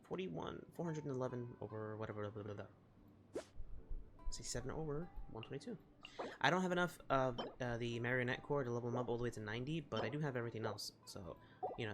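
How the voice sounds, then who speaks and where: A male gamer with a middle-pitched voice commentates casually on his play. He rambles in an informal, excitable way. Game music is clearly heard behind him. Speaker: gaming streamer.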